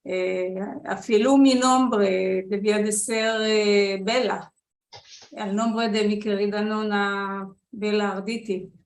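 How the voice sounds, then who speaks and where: A woman from Israel answers questions calmly and slowly. Her voice is mid-pitched. The room echoes. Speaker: guest. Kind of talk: interview answer.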